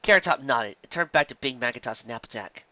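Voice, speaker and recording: A man's voice: mid-range voice, lively intonation, fast talker, pushed and bright, no echo, lo-fi sound. A man reading fiction aloud as a dramatized narration, recorded close in a dry space. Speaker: story reader.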